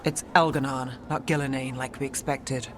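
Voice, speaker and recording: A woman playing a haughty, sharp-tongued human mage in a fantasy game, cool and imperious. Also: rumbling low, fairly even intonation, moderate pace, a little hiss or hum. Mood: imperious, scornful.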